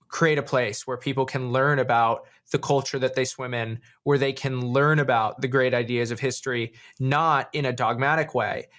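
A man's voice: medium pitch, a bit bright. A man speaking as an interview guest in a reflective, storytelling style, earnest and emphatic, drawing out key words. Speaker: podcast guest, writer and founder.

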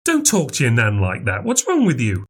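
A man's voice: medium pitch, huge pitch swings, moderate pace, husky. A man voicing a concerned but firm mother in an audiobook, fretful and scolding. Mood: shocked, scolding. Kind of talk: audiobook character voice.

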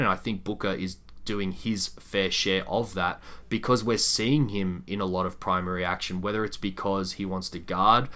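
Deep, bright-voiced man talking sports. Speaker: sports podcast co-host. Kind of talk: casual sports analysis chat.

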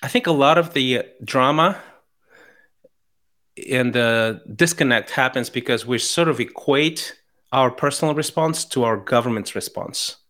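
A man's voice: medium pitch, a little slow, fairly bright tone. A middle-aged man from Chile with a Chilean accent, talking earnestly as a podcast guest and often restarting his sentences. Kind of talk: casual conversation.

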